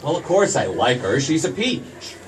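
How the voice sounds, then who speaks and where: Stammering, bashful young fella, swooping pitch. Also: male voice, very animated, a little hiss or hum. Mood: awkward, defensive. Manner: stammering, folksy drawn-out hesitations. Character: awkward, stubborn young small-town man, bashful and grumpy. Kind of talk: old-time radio play acting. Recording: distant stage mic.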